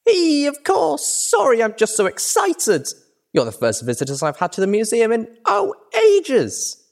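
A man's voice: high voice, normal speed, pitched above the performer's own voice, full character voice. Man voicing a squealy, manic pony filly. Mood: giddy, excited. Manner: gushing, excitable, theatrical. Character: manic, bubbly young female pony filly tour guide. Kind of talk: animated audiobook character voice.

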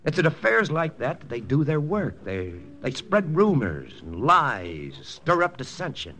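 An actor playing a confident American army intelligence lieutenant in a 1940s radio drama, brisk, persuasive and matter-of-fact. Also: male voice, a little high, very animated, bright, forceful tone, heavily acted. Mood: persuasive, firm. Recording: old radio broadcast with music.

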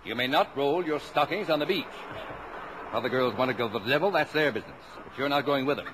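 A man's voice: medium pitch, fast talker, close to an everyday voice. An actor playing a strict, old-fashioned, sarcastic father of a big family in a 1950s radio drama. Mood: indignant, sarcastic. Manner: sarcastic, indignant, emphatic. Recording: noisy old radio broadcast.

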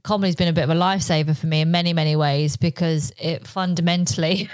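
A woman's voice: low voice, fairly even intonation, fast talker, dry recording. A woman of forty, a comedian and guest, talking frankly and a little ramblingly in a casual podcast chat, swearing and leaning on filler words. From Britain. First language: Polish. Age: 40s.

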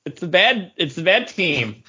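A man's voice: high voice, sing-song, a little slow, pushed and bright, breathy edge, lo-fi sound. A man bantering casually as a co-host on a podcast.

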